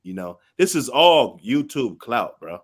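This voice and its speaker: A man's voice: mid-range voice, big pitch swings, a little slow. A man hosting a casual reaction chat, explaining things in a loose, rambling way. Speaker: host reacting to clips.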